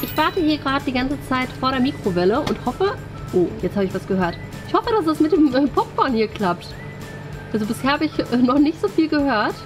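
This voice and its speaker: A woman's voice: high-pitched, big pitch swings, fairly quick. A young adult woman who hosts a vlog, narrating and chatting casually in an indoor kitchen. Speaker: host of a crafts and party vlog. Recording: music behind. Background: background music.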